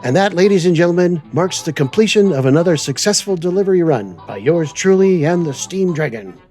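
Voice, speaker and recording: A man with a fairly high voice hosts a semi-scripted trucking show. He talks in a playful, folksy way, with soft music playing underneath. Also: animated, fairly quick. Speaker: host.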